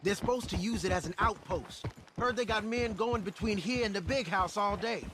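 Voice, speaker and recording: An actor playing an eager, wry young outlaw in an Old West video game. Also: male voice, high-pitched, normal speed, noisy background, close to an everyday voice. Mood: alert. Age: young adult. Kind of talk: naturalistic video game acting.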